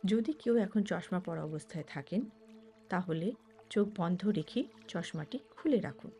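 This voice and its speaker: A woman leading a guided meditation as an instructor, speaking in measured short phrases with pauses. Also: lowish voice, dark tone. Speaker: certified meditation instructor and mind trainer. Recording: background music under the voice. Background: music.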